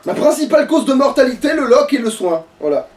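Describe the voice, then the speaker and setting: A man's voice: extremely high pitch, very animated, fairly quick, a little mellow, recorded from a distance. A man giving casual, slangy gaming commentary as he plays, grumbling and swearing freely. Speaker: let's play streamer playing the game.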